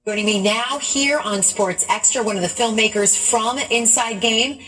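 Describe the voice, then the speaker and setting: A woman from New Jersey with a husky voice hosts a sports interview. Her delivery is conversational and informal, and she often chimes in while talking with her guest. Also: fairly high pitch, normal speed, fairly bright tone, poor audio. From Essex County, New Jersey. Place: TV studio.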